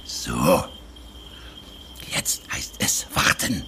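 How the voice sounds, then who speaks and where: An actor playing an eccentric, fussy old inventor professor in a children's audio drama, muttering and exclaiming theatrically. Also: male voice, extremely slow, pushed and bright, slight background noise. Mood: absorbed, fussy. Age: older.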